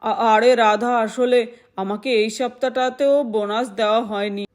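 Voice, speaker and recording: A woman's voice: on the high side, steady tone, moderate pace, close to an everyday voice. A woman voicing a poor, apologetic village father in a doll-play story, earnest and reassuring.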